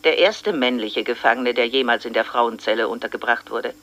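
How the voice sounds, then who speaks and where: An actor playing a sheriff's spouse, giving an anxious eyewitness account in a documentary radio drama. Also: male voice, mid-range voice, a little fast, bright, forceful tone. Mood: matter-of-fact recollection. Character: anxious sheriff's spouse recalling the prisoners' arrival.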